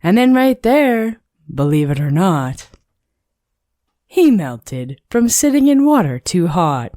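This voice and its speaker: Woman reading a kids picture book, slow n very animated pitch, close dry recording. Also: no room sound. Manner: measured, expressive, read-along delivery. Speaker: storybook narrator. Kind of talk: expressive scripted children's story narration.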